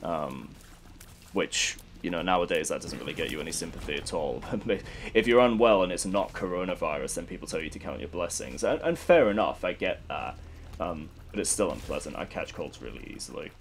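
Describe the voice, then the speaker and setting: Low-voiced man with a stuffy cold. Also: expressive, a little fast, gravelly, slight background noise. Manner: rambling, candid, swears casually. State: congested from a cold. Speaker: gaming commentator. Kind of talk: casual gameplay commentary.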